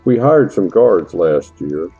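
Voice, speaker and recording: A male actor as a middle-aged fantasy farmer with a very low, dark voice. He grumbles in folksy country talk, fed up with everyone useless around him, in a slightly muffled recording over music. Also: very animated, takes it slowly, slightly rough, full character voice. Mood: indignant. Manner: folksy, colloquial grumbling with mild country oaths. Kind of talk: audio drama fantasy acting.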